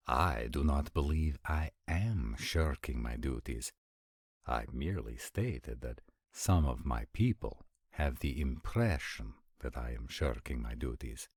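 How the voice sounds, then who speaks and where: An actor playing a formal, dutiful vampire prince, patient but weary, with a husky voice and measured wording in a roleplay audio drama. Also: male voice, very deep voice, lively intonation, darkish tone, no echo, studio quality, full character voice. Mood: stern, defensive.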